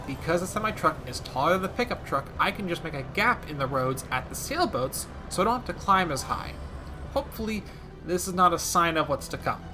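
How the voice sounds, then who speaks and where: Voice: mid-range voice, animated, a little fast, music in the background. A video game commentator narrating a scripted gaming video with wry, playful asides.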